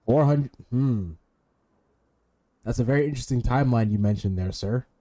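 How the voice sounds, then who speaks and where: A man's voice: mid-range voice, moderate pace, mellow. A young man giving casual gaming commentary, joking and slangy, sometimes reading game text aloud. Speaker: game streamer.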